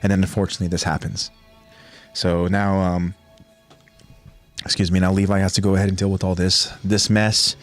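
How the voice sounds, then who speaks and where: A man's voice: low voice, flat delivery, brisk, pauses often. A man hosting a podcast in a casual, informal way, with a slightly nasal voice. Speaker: podcast host. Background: background music.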